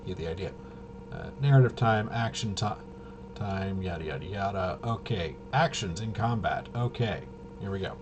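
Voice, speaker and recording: A man casually rambling through tabletop game rules, haltingly, often trailing off and correcting himself. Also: deep voice, dull audio. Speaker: host. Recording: clear steady background noise.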